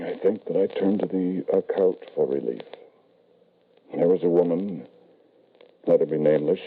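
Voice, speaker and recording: An actor playing an embittered, lonely, grieving father in an old radio drama, speaking formally and deliberately with dramatic pauses. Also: low-pitched, phone-quality sound, slight background noise. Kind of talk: 1940s radio drama acting, first-person narration. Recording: band-limited vintage radio recording.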